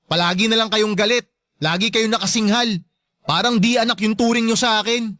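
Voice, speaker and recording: A wounded, resentful son in a radio drama. His voice is very high and sharp, recorded close and dry. He argues back in an aggrieved, pleading manner. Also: steady tone, a little fast, dry recording. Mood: hurt, defiant. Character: hurt, resentful adult son standing up to his mother. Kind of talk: Tagalog radio drama acting.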